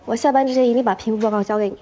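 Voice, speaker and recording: A woman's voice: fairly high pitch, steady tone, very fast, warm tone, natural voice. An actress in her twenties playing a spirited, eager and stubborn young assistant in a TV romantic comedy drama. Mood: determined. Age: 20s. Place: office interior, TV drama production.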